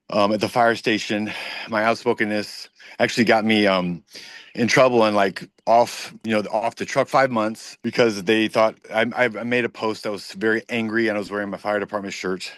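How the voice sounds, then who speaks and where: A man's voice: on the low side, fairly bright tone, no room sound. A man telling his story in an interview, earnest and heartfelt, often repeating words as he searches for them. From United States. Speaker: interview guest, military veteran and firefighter. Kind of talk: earnest personal account in conversation.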